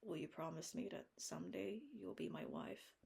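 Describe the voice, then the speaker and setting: A woman reading an audiobook voices an earnest young man, speaking quietly and low. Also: moderate pace, creaky, breathy edge, exaggerated voice. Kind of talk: audiobook character voice.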